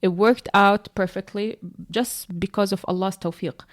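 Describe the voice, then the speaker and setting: Palestinian woman, candid and unhurried. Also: medium pitch, steady tone. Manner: candid, searching for words, frequent fillers. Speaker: co-host. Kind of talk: casual podcast conversation.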